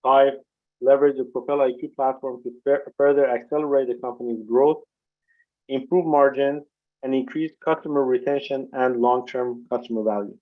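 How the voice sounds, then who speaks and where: A man reading prepared financial remarks as a company executive, formal and measured, on a video conference call. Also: mid-range voice, fairly even intonation. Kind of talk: scripted corporate earnings presentation. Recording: dull, lo-fi conference call audio.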